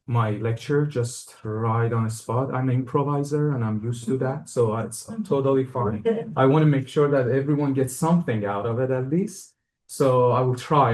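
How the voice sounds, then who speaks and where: A man from Iran with a Persian accent, lecturing live to students and faculty, friendly and hesitant with many fillers and restarts. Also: medium pitch. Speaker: guest lecturer. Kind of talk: live academic lecture to an audience. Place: lecture hall.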